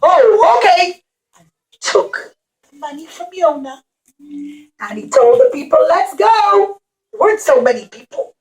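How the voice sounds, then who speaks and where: A woman's voice: sits high, lively intonation, moderate pace, long pauses, darkish tone, echoey room, poor audio. A woman telling a story to young children as a puppeteer, explaining things simply and with repetition.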